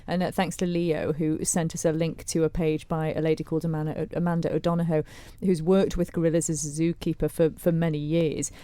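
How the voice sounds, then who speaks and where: Female radio co-host, lowish mellow voice, conversational discussion style, dry studio sound. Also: little pitch movement, a little fast, hardly any pauses, dry recording. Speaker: radio co-presenter. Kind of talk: radio discussion, reading listener comments.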